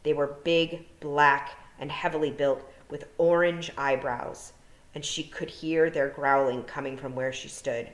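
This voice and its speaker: A woman's voice: low voice, steady tone, unhurried. A woman narrating a children's fantasy novel as a storytime reader. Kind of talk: storybook narration read aloud. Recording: roomy echo.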